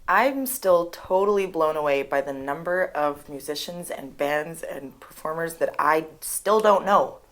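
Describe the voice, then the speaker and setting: A young woman from Canada, a singer-songwriter, chatting in an interview and telling stories in a lively, laughing way. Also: low voice, measured pace, few pauses. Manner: chatty, animated storytelling with laughs. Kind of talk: casual interview.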